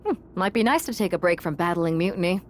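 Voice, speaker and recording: A woman's voice: fairly low pitch, animated, quick pace, slight background noise, natural voice. A woman performing a brash, encouraging wrestling partner in scripted game dialogue, with emphatic delivery. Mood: agreeable.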